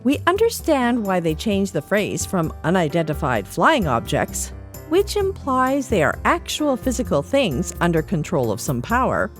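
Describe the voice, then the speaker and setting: A woman's voice: medium pitch, huge pitch swings, normal speed, soft background music. A woman reading a newsletter expressively for a podcast.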